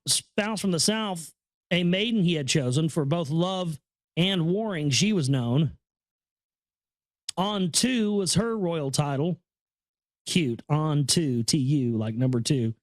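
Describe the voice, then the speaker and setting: A man's voice: a little high, no room sound. A man giving a casual running commentary on a book he is reading aloud, rambling and stammering, repeating phrases with earnest awe. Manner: awed, earnest. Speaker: reader and commentator.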